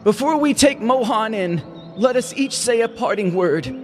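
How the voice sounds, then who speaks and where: A man's voice: high voice, animated, normal speed, slight background noise. A man playing a melodramatic, flippant villager in mourning in a comedic audio story. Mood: solemn.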